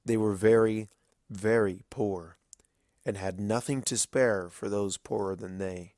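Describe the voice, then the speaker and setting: Slow, deep-voiced man narrating dramatic fiction. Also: fairly even intonation, darkish tone, no room sound, studio quality. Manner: grave, deliberate storytelling with dramatic pauses.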